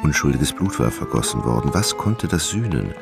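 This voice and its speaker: A man narrating a literary radio drama in a measured, dramatic storytelling manner, in German studio style. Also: a bass voice, big pitch swings, creaky. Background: plucked guitar music.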